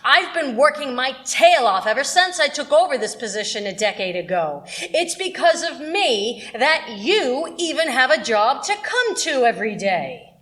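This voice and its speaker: A woman playing a bitter, overworked radio station manager in a radio play, domineering and sharp-tongued. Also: high voice, sing-song, moderate pace, few pauses. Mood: scornful, self-righteous.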